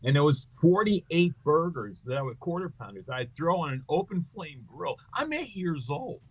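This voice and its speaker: A middle-aged man with a husky, slightly raspy voice pitched a bit above most men's. He is a comedian guest who spins loose, joking anecdotes with plenty of "uh" and "you know", and his pitch wanders up and down as he talks. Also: big pitch swings, moderate pace, rough recording. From United States. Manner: joking, rambling anecdotes with many fillers. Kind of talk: casual interview storytelling.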